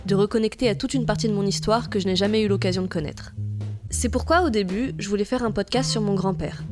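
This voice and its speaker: French woman narrating over guitar music. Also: mid-range voice. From France. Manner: calm, measured, reflective. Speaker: podcast host. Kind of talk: scripted narration.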